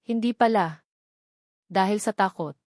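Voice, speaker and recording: A woman's voice: mid-range voice, a little slow, a little mellow, dry recording, studio quality. A Filipino woman reading a scripted romance story as a dramatic narrator.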